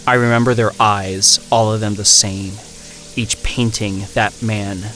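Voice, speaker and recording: An actor playing an obsessive, exhausted young male student, musing haltingly to himself in a horror audio drama. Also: fairly low pitch, fairly even intonation, sharp tone, soft background music. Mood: haunted, reciting.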